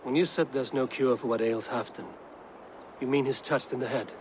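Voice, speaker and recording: A man voicing a serious, watchful Viking warrior in a video game, measured and quietly questioning. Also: mid-range voice, fairly even intonation, natural voice. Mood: probing. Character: steady, watchful Viking warrior, loyal and probing. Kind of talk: video game cutscene acting. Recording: long echoing reverb, faint ambient noise.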